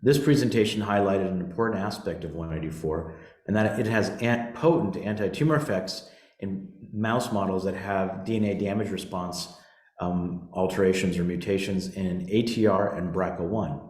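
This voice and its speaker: Low-voiced man presenting in a roomy echo. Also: normal speed, darkish tone. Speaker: company executive presenting an earnings call. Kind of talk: semi-scripted corporate presentation. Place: conference call webinar. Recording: roomy, echoing conference call audio.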